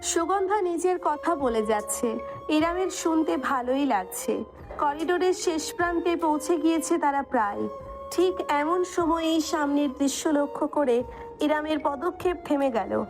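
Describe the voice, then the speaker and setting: A woman's voice: high-pitched, animated, moderate pace, music in the background. A woman reading scripted dramatic narration as the storyteller of an audio story.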